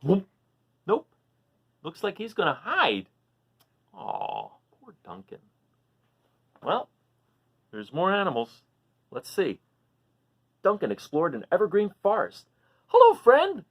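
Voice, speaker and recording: A woman reading a picture book aloud for children, animated and often stopping to ask the listener questions. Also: deep voice, slow, pauses often, slightly rough. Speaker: storybook reader for children.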